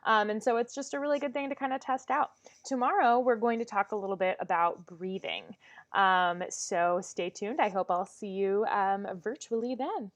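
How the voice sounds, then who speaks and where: A woman voice teacher leading a vocal warm-up lesson, talking with many "um"s and demonstrating exercises. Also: mid-range voice, normal speed.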